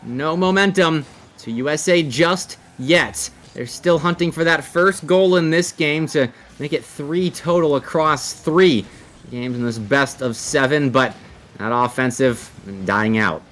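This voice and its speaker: A man's voice: a little high, unhurried, a bit bright. A man giving live esports commentary and analysis, sometimes drawing out words as he works out his phrasing.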